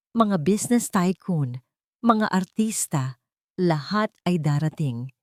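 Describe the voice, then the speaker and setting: Woman narrator, lowish voice, animated pitch, scripted drama, measured storytelling. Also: no room sound, studio quality.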